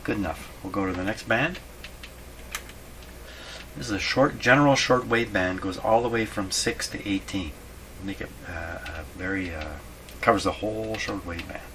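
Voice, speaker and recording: Low-voiced man over clear background noise. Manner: muttering to himself while working, halting, repetitive. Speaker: host of a radio repair video. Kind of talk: casual hands-on demonstration commentary. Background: ambient noise from radio being tested.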